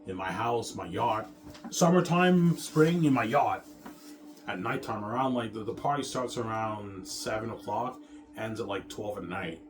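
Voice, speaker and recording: A man's voice: fairly low pitch, measured pace, music in the background. A man in a casual, rambling monologue, boastful and repetitive as he circles back over his phrases. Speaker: self-styled film maker talking about his movie projects.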